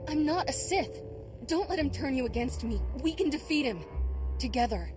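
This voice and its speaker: An actress playing a spirited, guarded young Jedi apprentice in a video game, speaking bluntly with a wry edge. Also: female voice, high-pitched, lively intonation, moderate pace, over music. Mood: alarmed defiance. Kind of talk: dramatic video game acting.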